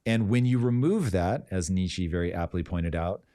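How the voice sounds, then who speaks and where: Low, mellow-voiced male podcast host. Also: no room sound, clean studio sound. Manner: blunt, swears casually, drawn-out emphatic points. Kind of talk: casual live-stream talk.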